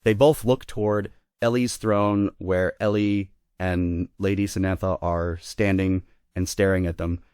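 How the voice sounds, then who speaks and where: A man's voice: deep voice, fairly even intonation, a little slow, hardly any pauses, a little mellow, clean tone, no echo, clean studio sound. A man narrating a script, reading stage directions and scene summaries aloud.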